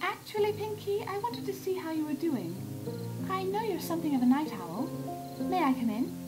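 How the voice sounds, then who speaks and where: A woman's voice: very high-pitched, animated, normal speed. A woman voicing a refined female cartoon unicorn with a genteel, theatrical manner in a fan audio drama. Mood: polite. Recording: background music under the voice.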